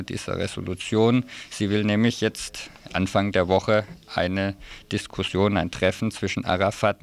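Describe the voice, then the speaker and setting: A man's voice: deep voice, vocal fry. A man presenting a radio news summary from notes, explaining events in short, terse phrases.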